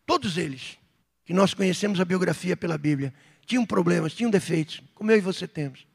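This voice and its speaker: Man, Brazilian Portuguese accent, pastor, sermon, husky high voice, long reverb. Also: a little fast. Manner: fervent preaching with rising outbursts, then softer reflective stretches. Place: church hall.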